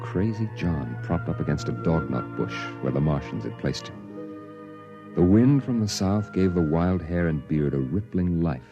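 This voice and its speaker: Weary survivor narrating, very deep, solemn, dull old sound, music behind. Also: male voice, animated, moderate pace. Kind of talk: 1950s radio drama narration.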